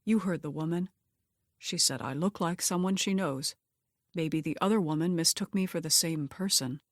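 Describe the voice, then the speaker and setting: Female narrator doing a calculating young man, audiobook dialogue, low-pitched, controlled, insinuating, dry sound. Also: normal speed, no echo, lightly acted. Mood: feigned innocence. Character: cool, calculating young man working undercover.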